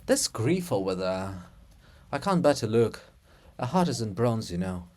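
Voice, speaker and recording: A man's voice: on the low side, big pitch swings, a little slow, a little mellow, voice pushed down. A man voicing a flustered, complaining monument of a scholar, out of breath, in a theatrical reading. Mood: flustered, grieving.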